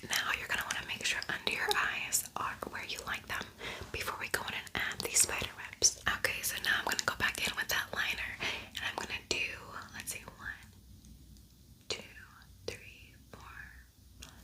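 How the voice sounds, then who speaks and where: A woman speaking in a breathy, unvoiced whisper, chatting casually and thinking aloud as she hosts an ASMR makeup tutorial. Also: normal speed, low-quality recording.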